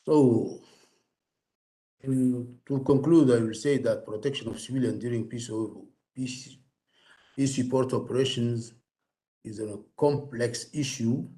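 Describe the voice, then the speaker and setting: Slow, halting man presenting. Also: medium pitch, dark tone. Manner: halting, deliberate. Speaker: conference speaker. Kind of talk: formal presentation.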